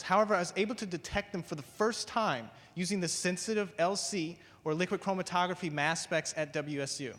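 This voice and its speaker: A man with a slightly high voice talks about his research in a measured way, leaning on "basically". The sound carries the long reverb of a large hall. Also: a bit bright. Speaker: graduate researcher speaker. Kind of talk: prepared talk, then onstage answer.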